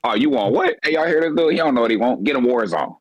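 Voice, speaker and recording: A man's voice: mid-range voice, expressive, quick pace, dry recording, highs cut off. A man co-hosting a podcast, riffing comedically in casual slang with a mocking, repetitive delivery. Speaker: podcast co-host.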